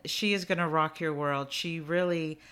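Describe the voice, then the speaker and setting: A woman's voice: low-pitched, steady tone, normal speed, fairly bright tone, smooth. A woman hosting her own podcast, opening with an emphatic, inspirational intro and then interviewing a guest. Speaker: podcast host.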